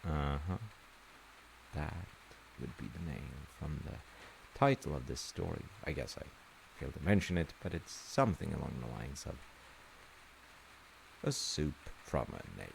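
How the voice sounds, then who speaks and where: A man's voice: a bass voice, expressive, measured pace, gravelly, long pauses, low-quality recording. A man telling a folktale in a casual, conversational way, with wry, hesitant asides. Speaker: storyteller in an audio roleplay, playing a dad. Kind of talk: casual bedtime folktale storytelling. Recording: hissy recording with rain noise. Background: rain and hiss.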